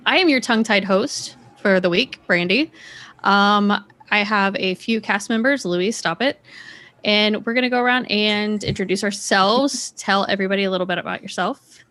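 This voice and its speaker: A woman's voice: mid-range voice, normal speed, fairly bright tone. A woman hosting a casual panel chat in a conversational way. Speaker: host of a discussion show.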